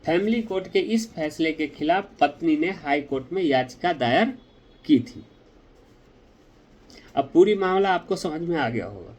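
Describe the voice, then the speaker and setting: A man explaining a legal ruling to viewers in a direct, deliberate, explanatory way. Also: a little high, animated, darkish tone.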